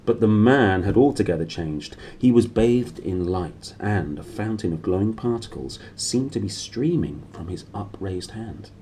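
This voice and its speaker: Man, thirties, low mellow voice, novel reading, clear background noise. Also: animated, a little slow, few pauses. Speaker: reader. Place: small indoor room. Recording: clearly noisy room recording.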